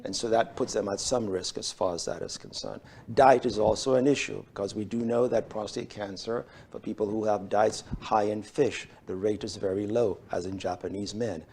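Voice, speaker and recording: A middle-aged man from Switzerland, a urologist guest on a TV health show, speaking in a measured, formal way with frequent fillers. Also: lowish voice, creaky, a little mellow, a little hiss or hum. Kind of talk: TV health interview. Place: bright TV studio set.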